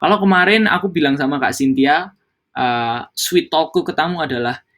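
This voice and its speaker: A man's voice: on the high side, moderate pace, a bit bright. Man coaching colleagues, code-switching casually. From Java, Indonesia. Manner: mixes English phrases into casual speech, many fillers and restarts. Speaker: hospitality staff trainer. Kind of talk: internal training talk.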